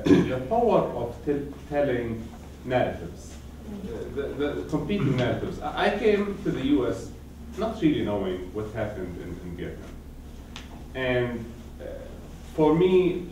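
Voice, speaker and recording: A man's voice: mid-range voice, warm tone. Iraqi-born man speaking in a reverberant hall. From Iraq. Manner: thoughtful, hesitant, many fillers and restarts. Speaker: panelist, activist. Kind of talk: conference talk. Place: conference hall. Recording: echoey hall, distant mic, audible room noise.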